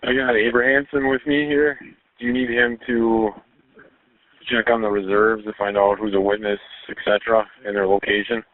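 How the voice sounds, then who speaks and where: A man's voice: fairly low pitch, flat delivery, moderate pace, no room sound. A man speaking as a police officer, reporting over a narrowband two-way radio.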